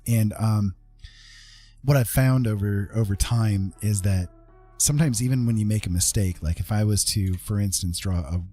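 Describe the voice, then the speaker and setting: Husky low-voiced man chatting on stream. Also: dark tone. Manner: rambling, self-deprecating, checks in with listeners. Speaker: artist and writer hosting a live stream. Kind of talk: casual live-stream chat while painting.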